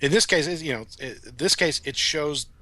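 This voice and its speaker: A man's voice: a little high, moderate pace, pushed and bright, dry recording. A middle-aged man speaking as a podcast guest in casual, reflective conversation.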